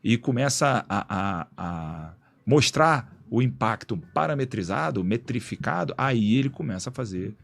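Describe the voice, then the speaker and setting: Gravelly man explaining in slangy talk. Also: mid-range voice, unhurried, few pauses. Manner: explains in a relaxed, slangy way with many restarts and "né?" tags. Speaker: guest, executive director of an institute. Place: radio studio.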